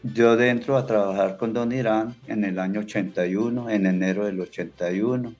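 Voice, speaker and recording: An older man from Colombia, a farmer, telling his story slowly and hesitantly with many restarts. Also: on the low side, fairly even intonation, a little mellow, highs cut off, faint music behind. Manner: slow, hesitant, plain-spoken, with many restarts. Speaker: interviewee, evicted farmer. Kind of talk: halting personal account. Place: small indoor room.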